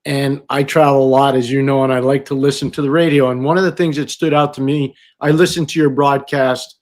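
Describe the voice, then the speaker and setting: A man's voice: medium pitch, flat delivery, a little fast, darkish tone. A man co-hosting a podcast as a hockey analyst, talking casually and emphatically, repeating phrases for emphasis. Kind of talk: casual sports talk.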